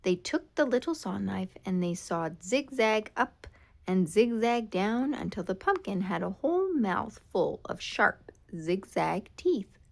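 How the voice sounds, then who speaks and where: Woman, children's storytime narrator, unhurried, lively pitch, dramatic, expressive. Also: vocal fry. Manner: dramatic, expressive read-aloud with drawn-out words. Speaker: storybook reader.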